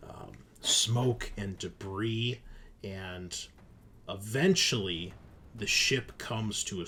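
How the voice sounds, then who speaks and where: Low-voiced man running a tabletop game, noisy background. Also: a bit bright. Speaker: game master. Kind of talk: improvised narration of a tabletop game. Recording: noticeable background noise.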